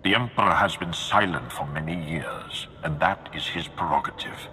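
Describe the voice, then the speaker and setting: An actor playing a grim, commanding dark lord in a video game, speaking in a grave, formal and measured way. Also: male voice, extremely low pitch, slight background noise. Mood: stern, explaining. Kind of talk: scripted video game acting. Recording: game music behind the voice.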